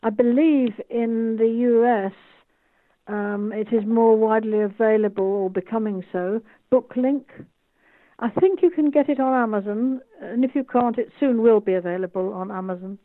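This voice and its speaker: A woman's voice: fairly high pitch, moderate pace, dry recording. Elderly British woman on a tinny call. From United Kingdom. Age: 80s. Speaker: author and tour guide. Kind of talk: interview over video call. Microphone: video call. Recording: tinny phone-like call line.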